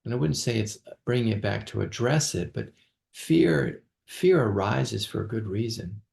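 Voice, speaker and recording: A man leading an unscripted guided meditation as a teacher, speaking haltingly with long pauses and repeated words. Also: fairly low pitch, lively intonation, creaky, darkish tone.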